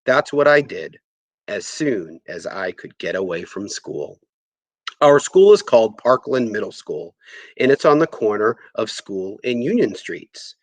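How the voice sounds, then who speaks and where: A man reading a first-person story aloud in an expressive, conversational way. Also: on the low side, a little slow, no room sound. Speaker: audiobook reader.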